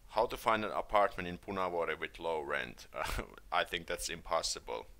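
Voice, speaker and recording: A Finnish man with a Finnish accent, hosting a casual live stream and answering viewer questions in a relaxed, hesitant way, with many fillers and restarts. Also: sits low, normal speed. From Finland.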